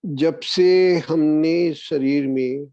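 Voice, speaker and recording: A man guiding a meditation as a spiritual discourse, speaking in a measured way with long pauses. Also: a little high, fairly even intonation, creaky, dark tone, no echo. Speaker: meditation guide. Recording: dull, lo-fi, dry audio.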